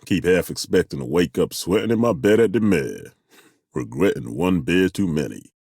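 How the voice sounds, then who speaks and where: An actor playing a burly, good-humoured ageing masseur turned adventurer in a video game, with a husky voice and a folksy, self-deprecating, joking way of talking. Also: male voice, low voice, sing-song, a little mellow, dry recording, studio quality, heavily acted. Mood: humbled, wondering. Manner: folksy, joking, chuckling, drawn-out hesitations. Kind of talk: video game character dialogue.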